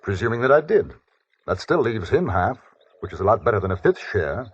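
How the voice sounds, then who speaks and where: An actor playing a cool, gentlemanly frontier adventurer in an old-time radio western, speaking with formal, understated irony in a husky voice. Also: male voice, fairly low pitch, sing-song, fairly quick, full character voice. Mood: calm, wary. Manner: formal, understated, dryly ironic. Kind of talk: old-time radio western acting and first-person narration. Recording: dull, lo-fi old radio recording.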